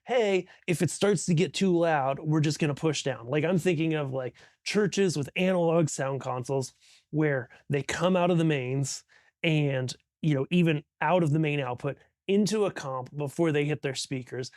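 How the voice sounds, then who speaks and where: A man's voice: fairly high pitch, normal speed, a little mellow, dry recording, studio quality. A man explaining things as an audio instructor in an informal, conversational tutorial, thinking aloud as he goes.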